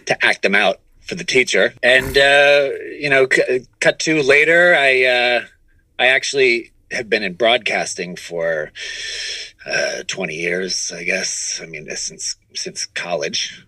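A man's voice: medium pitch, slow, sharp tone, no room sound, low-quality recording. A middle-aged man being interviewed, telling his story in a casual, conversational way. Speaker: interview guest, comedy writer and voice performer.

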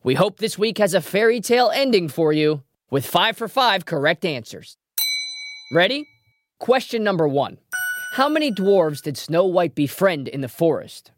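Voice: medium pitch, sing-song, moderate pace, dry recording. A trivia show host presenting a scripted quiz in a playful way, with wry asides. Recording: music behind the voice.

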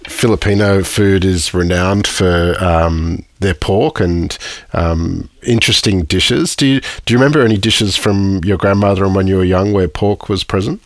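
Deep-voiced male podcast host. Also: moderate pace, dry recording. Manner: hesitant, many fillers while asking. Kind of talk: interview questions, scripted intro.

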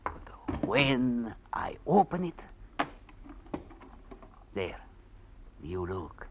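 An actor playing a kindly, proud old Italian music teacher in a 1940s radio drama, speaking haltingly with an Italian accent. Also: male voice, lowish voice, very animated, vocal fry, noisy background, heavily acted. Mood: secretive, reassuring. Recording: dull vintage radio recording.

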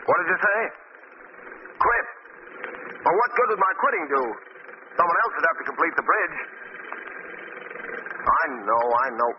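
An earnest, beleaguered boss in an old radio drama. His fairly high voice swings widely with emphatic delivery, over clear background noise. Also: very animated, normal speed, long pauses. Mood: strained. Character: earnest, beleaguered male bridge-building boss. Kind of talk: 1940s radio acting. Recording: noisy, dull old radio recording.

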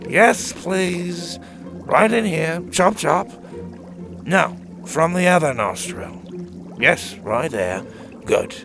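Man putting on a creaky, fussy old wizard. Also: on the high side, expressive, slow, music in the background, voice pushed up, exaggerated voice. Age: older. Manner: bossy, fussy, abrupt. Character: eccentric ancient male wizard, fussy and scatterbrained. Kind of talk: storybook character voice.

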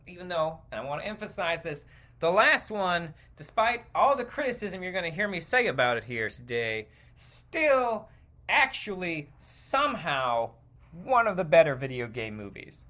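A man's voice: a little high, big pitch swings, normal speed, a bit bright. A man hosting a podcast, giving casual, rambling, opinionated solo commentary in a conversational way. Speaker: podcast host.

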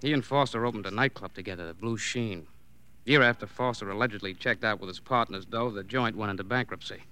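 An actor playing a wry, needling police colleague, talking breezily in an old radio crime drama. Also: male voice, on the low side, fast, bright, forceful tone, clean tone. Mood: breezy briefing. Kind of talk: 1940s-50s radio drama acting.

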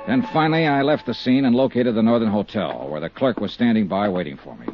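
Animated, wry radio private eye. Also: male voice, on the low side, fast talker, music in the background. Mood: wry narrating. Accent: American. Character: brisk, wry male insurance investigator. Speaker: lead actor and narrator. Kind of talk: 1950s radio drama acting. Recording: dull 1950s radio recording.

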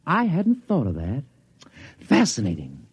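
An actor playing an unflappable, absent-minded scientist with a husky, musing delivery in a 1950s radio drama. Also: male voice, medium pitch, wildly swooping pitch, measured pace, dry recording, full character voice. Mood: vague, musing. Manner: mild, hesitant, musing, professorial. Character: unflappable absent-minded male scientist, smugly detached doctor. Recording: dull, dry vintage radio recording.

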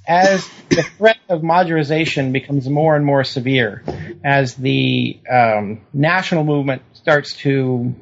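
A man answering interview questions about history at length, lecturing and thinking aloud with restarts. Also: on the high side. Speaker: historian guest. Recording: dull lo-fi recording.

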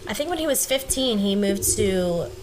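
A woman's voice: medium pitch, animated, a little hiss or hum, low-quality recording. A young woman guest on a podcast, chatting casually and easily. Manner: chatty, quick to laugh and protest. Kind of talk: casual chat.

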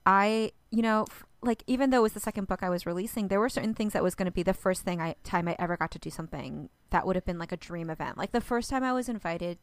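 A woman's voice: medium pitch, fast, hardly any pauses, no echo. Chatty woman podcast co-host. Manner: chatty, laughs often, many fillers. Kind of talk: casual chat.

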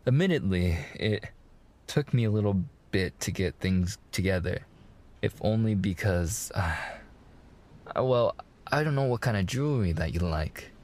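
A man's voice: low voice, animated, unhurried, a little mellow. A young man playing a smitten, protective mafia fiancé in a boyfriend ASMR roleplay, with slangy, hesitant, reassuring wiseguy talk. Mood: tender, hesitant.

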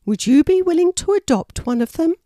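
A woman's voice: very high voice, lively intonation, fairly quick, warm tone, no room sound, studio quality, pitched above the performer's own voice. A woman voicing a helpful, reassuring mother wallaby with an earnest, motherly manner in a children's storybook. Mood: friendly, helpful.

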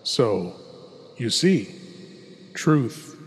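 A man's voice: mid-range voice, sing-song, very slow, a little hiss or hum. A man hosting a horror show with scripted narration, theatrical, formal and ominous. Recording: long reverberant hall effect. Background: music.

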